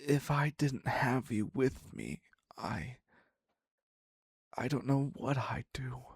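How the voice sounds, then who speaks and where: Man acting as needy obsessive captor, husky breathy voice, extremely slow halting delivery, intimate dark romance audio drama, pleading. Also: medium pitch, lively intonation, no room sound, full character voice. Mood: pleading, desperate. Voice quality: breathy, husky, often dropping to a whisper. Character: obsessive, needy male captor, former patient devoted to his captive doctor.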